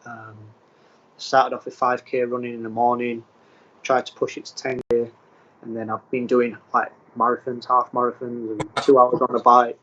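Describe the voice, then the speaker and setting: A man from England, a professional footballer, answering interview questions in a plain, steady way with frequent ums. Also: medium pitch, moderate pace, muffled recording. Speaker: footballer guest.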